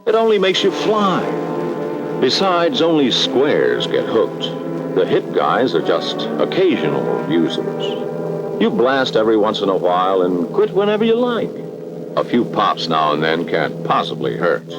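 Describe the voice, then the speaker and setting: Male American narrator, very low and highly modulated, ominous and emphatic, for a vintage cautionary documentary. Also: wildly swooping pitch, unhurried, lo-fi sound. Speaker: narrator of an educational film. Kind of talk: dramatic scripted 1960s documentary narration. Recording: vintage 1960s film soundtrack. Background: dramatic background music.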